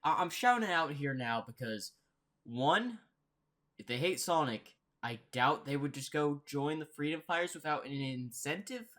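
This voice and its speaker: Reaction host, mid-range voice, casual rambling commentary, thinking out loud. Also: medium pitch, expressive, moderate pace.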